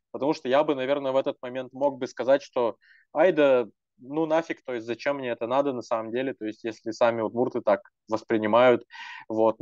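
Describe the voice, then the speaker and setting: A man's voice: medium pitch, a little mellow, dry recording. Young man rambling earnestly, dull dry sound. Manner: earnest, rambling with many fillers and restarts. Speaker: language activist. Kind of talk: casual panel talk.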